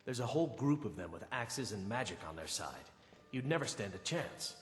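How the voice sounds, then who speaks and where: An actor playing a wary but grateful miner who survived an attack, in scripted video game dialogue. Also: male voice, medium pitch, big pitch swings, moderate pace, natural voice. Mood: grim. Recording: echoey game audio with music underneath.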